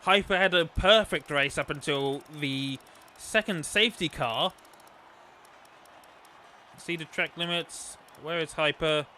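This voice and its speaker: Man, sim race commentator, live stream, rambling, fairly high voice, hoarse from losing his voice. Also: slow, pauses often, sharp tone, slight background noise. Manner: chatty, rambling with fillers. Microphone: desktop microphone.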